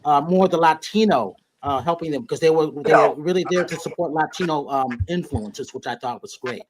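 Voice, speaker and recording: Guy hosting, rambles his questions, voice a bit on the high side. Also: moderate pace, poor audio. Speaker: host. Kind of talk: casual interview.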